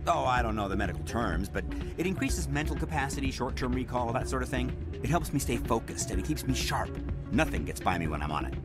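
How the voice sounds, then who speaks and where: A man's voice: medium pitch, big pitch swings, fast, close to an everyday voice. An actor playing a pushy, desperate male negotiator in a video game, agitated and pleading as he justifies himself. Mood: insistent. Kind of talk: video game dialogue acting. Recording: music playing behind the voice. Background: loud music.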